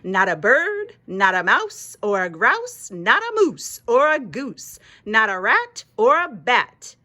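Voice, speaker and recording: An exasperated storybook mother cat, played by a woman, stern and lecturing. Her high voice rises and falls sharply as she hammers out each point with slow emphasis. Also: very animated, a bit bright, full character voice. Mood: scolding.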